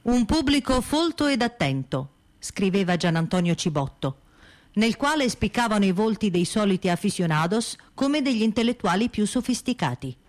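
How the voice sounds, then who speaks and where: A woman's voice: medium pitch, sing-song, normal speed, lightly acted. An actress playing a nostalgic woman narrating memories of a small theatre for radio. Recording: music behind the voice. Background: music.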